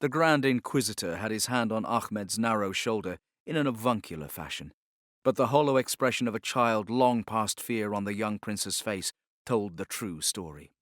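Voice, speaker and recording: A male audiobook narrator with a fairly low voice reads dramatic fiction. His delivery is measured and solemn, carefully scripted, and the recording is close and dry with no room sound. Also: animated, fairly bright tone, no room sound.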